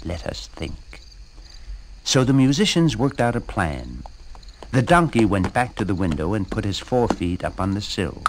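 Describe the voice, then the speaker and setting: A man narrating a storybook tale for a shadow puppet show in an expressive way. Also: fairly low pitch, normal speed, a little hiss or hum.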